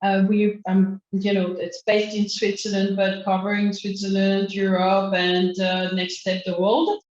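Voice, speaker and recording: A woman from France on a video call, speaking haltingly with frequent fillers. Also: mid-range voice, monotone, darkish tone. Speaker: panelist, angel investor. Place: small room indoors. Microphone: laptop webcam on a video call. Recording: dull echoey webcam audio.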